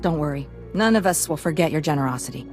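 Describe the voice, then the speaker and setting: An actress playing a gentle, grateful settler in a game cutscene. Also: female voice, low voice, animated, quick pace. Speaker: voice actor in a video game. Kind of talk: scripted game cutscene dialogue. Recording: loud music under the dialogue.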